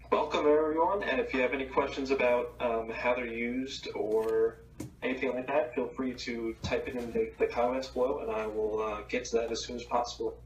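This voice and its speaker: A man from New Jersey, a company co-founder, explaining a product hesitantly with many pauses. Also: mid-range voice, muffled recording, slight background noise. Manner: hesitant, many ums and uhs. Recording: echoey, distant from the mic.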